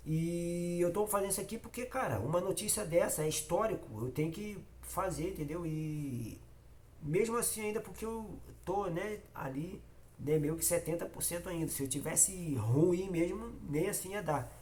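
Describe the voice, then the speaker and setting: A man's voice: high voice, normal speed, a little mellow. Nasal Carioca man with a cold. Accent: Carioca Brazilian Portuguese. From Rio de Janeiro, Brazil. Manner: repetitive and emphatic, addresses viewers directly. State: has a cold. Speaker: football fan channel host. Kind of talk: casual opinion vlog.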